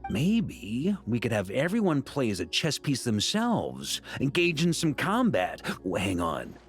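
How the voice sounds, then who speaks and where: An actor playing a talkative, good-humored middle-aged knight grandmaster in scripted video game dialogue, with a theatrical way of chatting. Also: male voice, medium pitch, huge pitch swings, a little slow, faint music behind. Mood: chatty, enthusiastic.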